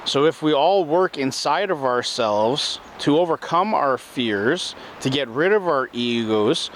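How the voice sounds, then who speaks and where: A man talks earnestly in a slow, repetitive self-help manner, his voice sitting in an ordinary middle pitch. He is recorded outdoors in an urban spot, with faint traffic noise underneath. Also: lively intonation, fairly bright tone. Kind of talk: spoken self-help talk.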